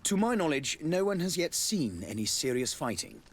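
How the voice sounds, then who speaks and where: An actor playing a solemn, dignified cardinal ambassador in a video game, speaking in a formal, pious manner. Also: male voice, fairly high pitch, sing-song, normal speed. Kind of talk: scripted video game dialogue.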